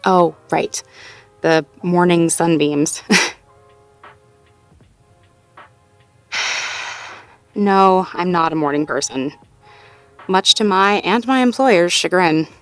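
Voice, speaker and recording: A woman's voice: on the low side, slow pace, soft background music. A woman playing a sweet, self-doubting, playfully wry girlfriend in an intimate audio roleplay, talking casually and conversationally with a partner. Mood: amused, thoughtful. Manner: conversational, casual, with sighs and soft chuckles. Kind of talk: intimate slice-of-life audio roleplay acting, one-sided conversation.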